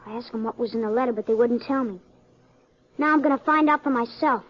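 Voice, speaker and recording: A woman's voice: a little high, fast talker, highs cut off, close to an everyday voice. A woman playing an earnest, wary eleven-year-old runaway boy in a 1950s western radio drama, with an American accent and plain country talk.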